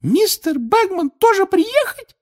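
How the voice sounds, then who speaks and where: A storybook reader voicing a tearful, indignant little female house-elf, very shrill and squeaky, wailing and scolding in broken grammar. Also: very animated, slow pace, darkish tone, full character voice. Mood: shocked.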